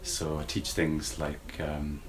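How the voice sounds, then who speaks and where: A man in his forties from the United States with a slightly Scottish-tinged American accent, answering interview questions thoughtfully and with many pauses. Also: very deep voice, completely flat delivery, poor audio. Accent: American with Scottish influence. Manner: thoughtful, hesitant with many fillers. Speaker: university lecturer.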